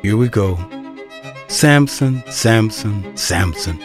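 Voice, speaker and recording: A man's voice: on the low side, lively intonation, slow, soft background music. A man narrating a drama in a wry, knowing storytelling manner.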